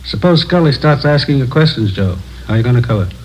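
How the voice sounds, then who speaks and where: A man playing a cautious, seasoned American narcotics inspector in a 1950s radio drama, measured and skeptical. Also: mid-range voice, animated, a little mellow, natural voice. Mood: cautious, businesslike. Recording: muffled old radio recording, faint noise.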